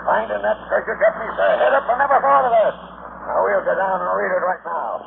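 Gravelly, animated old-time sea captain. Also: male voice, sits high, a little fast, heavily acted. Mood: brisk. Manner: colloquial, blustery, plain-spoken. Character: gruff seasoned ship's captain, hearty, scoffing, plain-spoken. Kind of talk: 1940s radio drama acting. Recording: noisy, tinny 1940s radio recording.

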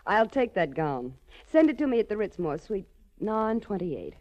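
A woman's voice: mid-range voice, big pitch swings, moderate pace, darkish tone, close to an everyday voice. An actress playing a cool, self-assured female crime boss in a 1940s radio drama, poised, haughty and coolly seductive. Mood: imperious, confident. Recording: muffled 1940s radio broadcast recording.

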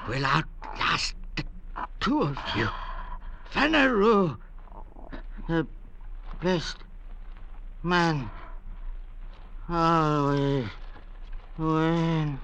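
A desperate castaway near death, high sharp voice, drags words out, old noisy radio drama. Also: male voice, expressive, extremely slow, gravelly, pauses often, heavily acted. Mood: vengeful. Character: pleading, delirious, dying male castaway, vengeful. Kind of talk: 1940s radio drama acting. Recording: noisy vintage radio recording.

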